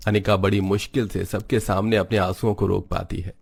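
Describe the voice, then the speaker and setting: A man's voice: sits low, level tone, fairly quick, gravelly, darkish tone, slightly rough, faint music behind. A man narrating a Hindi cartoon story in a scripted storytelling style.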